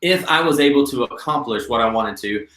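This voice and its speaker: An earnest man in his late thirties coaches a workshop, talking in a friendly, encouraging way. His voice sits at an ordinary middle pitch. The recording carries a noticeable roomy echo. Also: expressive, brisk, fairly bright tone. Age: 30s. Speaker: business coach. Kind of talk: instructional workshop talk.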